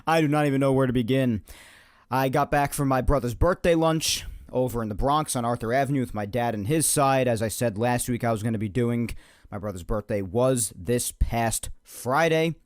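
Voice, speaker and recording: A young man hosting a solo sports podcast, energetic and rambling, his voice slightly hoarse. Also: mid-range voice, no room sound, clean studio sound. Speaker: podcast host.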